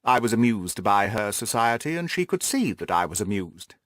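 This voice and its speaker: A man playing a haughty, reserved aristocratic lord with a pompous, measured way of talking in an audiobook. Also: fairly low pitch, sing-song, fairly bright tone, no room sound. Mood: aloof. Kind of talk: audiobook character dialogue.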